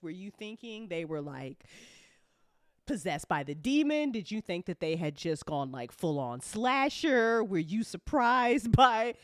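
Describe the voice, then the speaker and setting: A woman co-hosting and talking as an audio drama writer in an animated, playful group chat, swearing freely and laughing often. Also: mid-range voice, no room sound, studio quality. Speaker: audio drama writer and after-show co-host.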